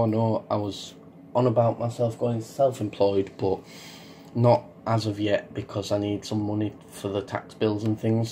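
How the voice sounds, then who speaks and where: A young man with a Yorkshire accent, croaky from being ill, giving a hesitant, rambling vlog update full of ums. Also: fairly low pitch, dark tone, slight background noise. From Yorkshire, England. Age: young adult. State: croaky from illness. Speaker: farming YouTuber.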